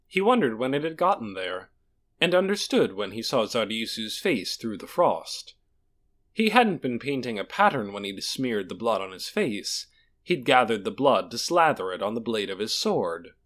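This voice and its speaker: A man's voice: medium pitch, big pitch swings, normal speed, a bit bright. A man narrating a fantasy audiobook in a dramatic, scripted storytelling style.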